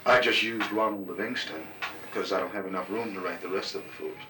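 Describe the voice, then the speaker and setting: A man of thirty being interviewed, answering in a deliberate, hesitant way with many pauses and fillers. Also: fairly low pitch, fairly even intonation. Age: 30s. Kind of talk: casual oral-history interview. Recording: roomy, distant, noisy tape recording.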